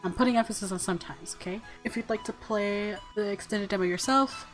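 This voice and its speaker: A woman hosting a video game playthrough, reading narration aloud and gushing with excitable reactions. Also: medium pitch, brisk, rough recording. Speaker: host of a gaming channel. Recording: faint music behind. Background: soft game music.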